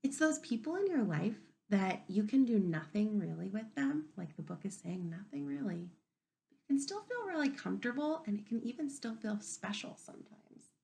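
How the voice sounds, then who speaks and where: Woman, kindergarten teacher, picture-book read-aloud, animated intonation, noticeable vocal fry, question-asking manner. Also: mid-range voice, moderate pace.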